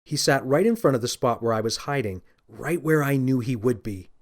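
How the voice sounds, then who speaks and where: A man narrating a scripted children's story in the first person, with an expressive, confiding storyteller manner. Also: mid-range voice, moderate pace. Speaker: audiobook narrator and author.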